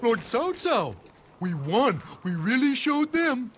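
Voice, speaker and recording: An actor playing an excitable, devoted warrior in a video game cutscene, eagerly addressing his lord. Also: very high voice, slow pace, slight background noise, exaggerated voice. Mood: jubilant. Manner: eager, exclaiming.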